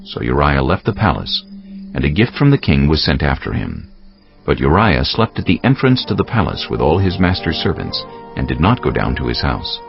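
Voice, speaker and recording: Male Bible narrator, extremely low and gravelly, expressive delivery of scripted scripture, faint music beneath. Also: moderate pace. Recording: slightly dull audio.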